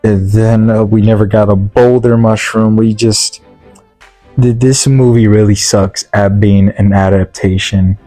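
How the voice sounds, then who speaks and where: A man's voice: lowish voice, fairly even intonation, a little slow, warm tone, no room sound, faint music behind. A man giving a casual, opinionated review rant in an exasperated, emphatic way, often repeating rhetorical questions. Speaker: movie reviewer.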